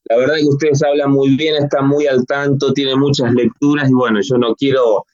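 A man's voice: medium pitch, flat delivery, normal speed, darkish tone, dry recording, muffled recording. A man in his forties with an Argentine Spanish accent, telling his story as a guest in a casual interview, rambling with restarts and fillers.

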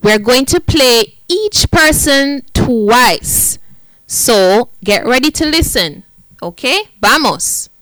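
A woman teaching a Spanish lesson to children, speaking slowly and repeating each point in Spanish and English. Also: sits high, expressive, fairly bright tone, no room sound, low-quality recording. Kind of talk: bilingual classroom lesson.

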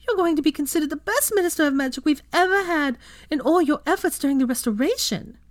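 A woman's voice: animated, fast talker, dry recording, studio quality. Woman doing a hammy, swooning young wizard. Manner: swooning mock falsetto. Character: playful young male wizard, hammy, mocking.

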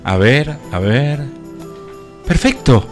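An actor with an Argentine accent playing a deferential, excitable aide to a prince in a comic radio play. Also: male voice, mid-range voice, slow, soft background music. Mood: dutiful. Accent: Argentine, Rioplatense. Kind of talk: comic radio theatre parody.

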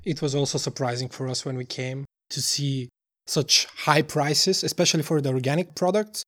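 A man's voice: medium pitch, steady tone, normal speed, a bit bright. A man, a startup co-founder answering interview questions earnestly, often hunting for words. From Bulgaria. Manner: earnest, searching for words, many restarts.

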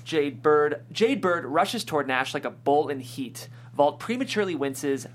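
Male script narrator, lively varied intonation, higher-than-average pitch, comedy table read, background hum. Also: unhurried. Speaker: stage-direction reader. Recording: clear background noise and hum.

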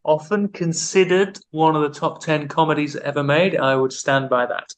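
Playful quizmaster man, slightly high voice. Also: fairly even intonation, moderate pace. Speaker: podcast co-host running a quiz. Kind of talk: casual comedic chat.

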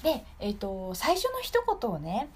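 A woman's voice: a little high, huge pitch swings, a little slow, darkish tone, a little hiss or hum. A woman hosting a radio show in a casual, polite, chatty way, explaining things off the cuff.

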